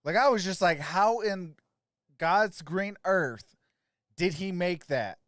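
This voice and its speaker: A man's voice: high-pitched, lively intonation, slow pace, a bit bright, no room sound, studio quality. A man hosting a sports livestream, mixing casual, friendly chat with viewers and informal play-by-play commentary. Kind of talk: casual live stream chat and game commentary.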